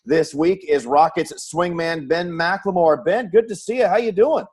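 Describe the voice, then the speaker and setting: A man's voice: sits high, measured pace, darkish tone. An American man working as a sports broadcaster, hosting an interview show with introductions and questions.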